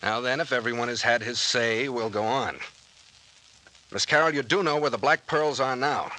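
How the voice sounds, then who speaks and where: An actor playing a level-headed, authoritative captain who questions people briskly in an old-time radio drama. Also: male voice, medium pitch, sharp tone, natural voice, rough recording. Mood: probing.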